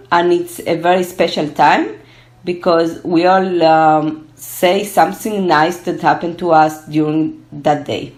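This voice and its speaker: A young woman from Argentina, Spanish her first language, answering interview questions in English with many pauses and fillers. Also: lowish voice, darkish tone. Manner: hesitant, many fillers and restarts. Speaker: guest, gerontology researcher. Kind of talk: casual interview.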